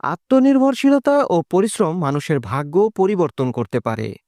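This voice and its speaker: A man's voice: high voice, big pitch swings, moderate pace, darkish tone, no echo, clean studio sound. A man giving scripted narration for a moral story, as the narrator of a cartoon.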